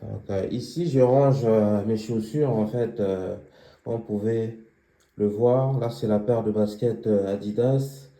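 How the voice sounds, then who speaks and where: A young man giving a casual tour of his apartment, pausing often with fillers as he walks around. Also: on the low side, warm tone, echoey room. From Congolese heritage, living near Paris. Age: young adult. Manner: hesitant, many filler pauses. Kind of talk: casual home tour vlog. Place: small apartment indoors.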